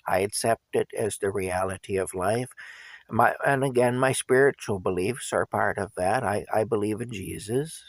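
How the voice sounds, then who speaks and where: A man, likely older, talking earnestly and plainly to his viewers in a candid monologue, his throat going hoarse. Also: fairly low pitch, moderate pace, no echo. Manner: earnest, plain-spoken, repeats himself for emphasis. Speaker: vlogger.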